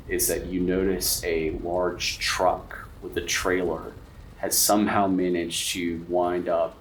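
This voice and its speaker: A man with a very low voice runs a horror tabletop game as game master. He narrates slowly and dramatically, repeating phrases for suspense. The recording is roomy, a bit off the mic, with faint noise. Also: recorded from a distance.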